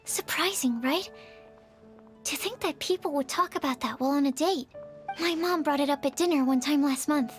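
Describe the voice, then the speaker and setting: A woman voicing a shy, kind young researcher in a video game, speaking in a gentle, earnest way. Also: sits high, moderate pace, faint music behind, lightly acted. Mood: encouraging.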